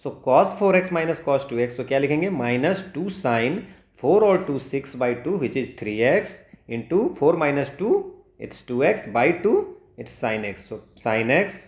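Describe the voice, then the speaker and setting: Slow-paced Indian male tutor, echoey room. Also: medium pitch. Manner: methodical, asks himself questions and answers them. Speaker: maths tutor. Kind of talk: step-by-step exam problem explanation, Hindi-English mix. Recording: roomy, echoing voice recording.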